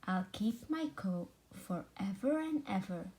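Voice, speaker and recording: A woman's voice: mid-range voice, lively intonation, takes it slowly, mellow, poor audio. A woman voicing a vain, sneaky male sheep in a storybook, with a self-admiring, sing-song delivery. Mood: vain, delighted. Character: vain, sneaky male sheep proud of his fluffy wool.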